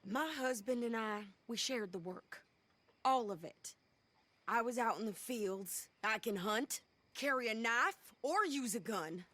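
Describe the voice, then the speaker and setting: An actress playing a fiery, defiant frontier widow turned outlaw in a video game, with an American accent and a sharp-tongued, sarcastic delivery. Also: female voice, a little high, lively intonation, a little slow, dry recording, heavily acted. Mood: angry, defiant. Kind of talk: dramatic video game cutscene acting.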